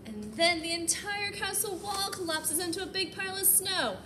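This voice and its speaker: A woman reading a picture book aloud as a storytime host, with expressive, animated delivery and chatty asides aimed at young children. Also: extremely high pitch, moderate pace, slight background noise.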